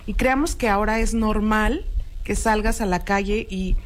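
A woman, a guest explaining her views in an earnest, steady way on a talk show. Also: mid-range voice, normal speed. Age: 30s. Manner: earnest, explanatory, frequent tag questions. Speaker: guest expert. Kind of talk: radio talk show discussion.

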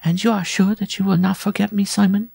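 Man putting on a high-voiced, scornful flirt of a bride. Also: level tone, a little fast, vocal fry, darkish tone, slightly rough, no echo, exaggerated voice. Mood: sly. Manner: roguish, theatrical cooing and sneering.

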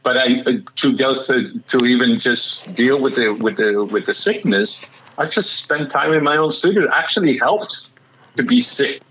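A man with a slightly raspy voice, a musician chatting excitedly in an interview and often restarting his sentences. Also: mid-range voice, moderate pace, lo-fi sound, a little hiss or hum. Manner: excitable, rambling with many restarts. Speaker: musician guest. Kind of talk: casual interview chat.

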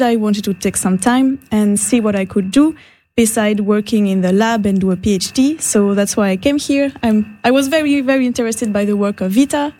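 A woman's voice: mid-range voice, dark tone. A young woman from the French Alps with a French accent, a native French speaker, chatting casually as an interview guest. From French Alps, France. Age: young adult. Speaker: guest, marine conservation worker. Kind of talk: casual self-introduction and chat.

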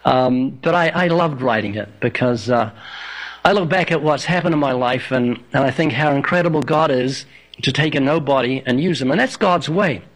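A man's voice: mid-range voice, normal speed, poor audio. An older man from New Zealand with a slight New Zealand accent, a guest on a radio show, telling stories with dry, self-deprecating humor. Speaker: evangelist and author, radio guest. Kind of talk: interview guest.

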